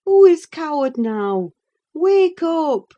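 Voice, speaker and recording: Woman doing a no-nonsense older maid in a storybook, firm and calm, slow and mellow. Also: sits high, muffled recording, voice pushed up, exaggerated voice. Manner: firm and calming. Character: sensible older woman servant, firm and steady. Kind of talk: audiobook character voice.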